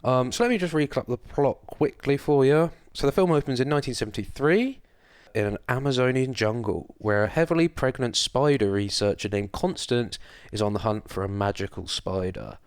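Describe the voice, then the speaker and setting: A man's voice: mid-range voice, moderate pace, clear, resonant tone, dry recording, clean studio sound. A man co-hosting a film podcast, chatting casually with wry, sarcastic humour and some swearing. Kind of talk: casual chat, recapping a film plot.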